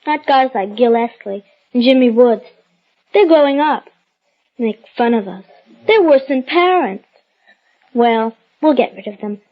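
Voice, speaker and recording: Secretive little kid girl, high voice, eager n offhand, old lo-fi radio play. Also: lively intonation, measured pace, long pauses. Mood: absorbed, offhand. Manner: eager, offhand, childish slang. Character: earnest, secretive young girl, absorbed in play. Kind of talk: old radio drama acting. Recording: dull lo-fi old radio recording.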